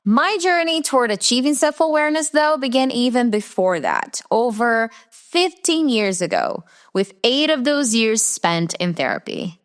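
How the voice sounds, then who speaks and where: Brazilian woman with an americanized english accent hosting her own podcast from her room, talks earnest and deliberate, voice goes up and down a lot. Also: on the high side, sing-song. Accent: Americanized English with Brazilian Portuguese roots. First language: Portuguese. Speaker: podcast host. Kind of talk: scripted solo podcast monologue.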